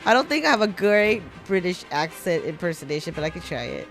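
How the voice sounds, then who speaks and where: A woman's voice: on the low side, big pitch swings, a little fast, a bit bright, faint music behind. Giggly young woman streaming a game. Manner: chatty, giggly, reacts with delight. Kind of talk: casual live-stream chat.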